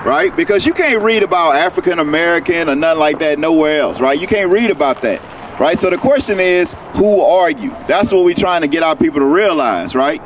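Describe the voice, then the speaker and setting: Brief: male street preacher, high voice, keeps asking "right?", loud traffic noise. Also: animated, fairly quick. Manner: questioning, tags lines with "right?". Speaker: street teacher. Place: outdoors on a city street.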